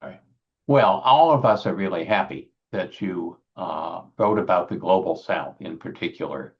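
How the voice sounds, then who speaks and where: Male podcast host, very low voice, frank and measured, dull lo-fi sound. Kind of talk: conversational podcast talk. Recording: dull lo-fi audio.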